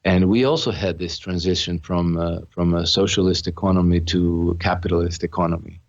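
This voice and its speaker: A man from Croatia with a very low voice talks earnestly as a podcast guest, restarting his phrases and filling pauses as he goes. The sound is dry and a little dull, with a lo-fi edge. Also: steady tone, no room sound. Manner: earnest, with many restarts and fillers. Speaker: podcast guest, author and trader. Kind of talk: conversational interview.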